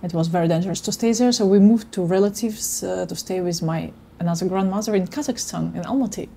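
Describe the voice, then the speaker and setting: Mellow-voiced woman, Russian native. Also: lowish voice, gravelly. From Kyrgyzstan. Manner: halting, searching for words. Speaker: guest violinist. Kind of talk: casual chat. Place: home kitchen, indoors.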